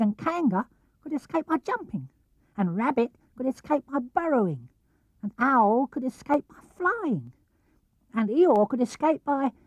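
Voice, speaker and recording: A man's voice: very high-pitched, no room sound, pitched above the performer's own voice, full character voice. A man voicing a small, timid, anxious young piglet in a storybook reading, with a plaintive, fretful manner. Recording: muffled old cassette or vinyl transfer.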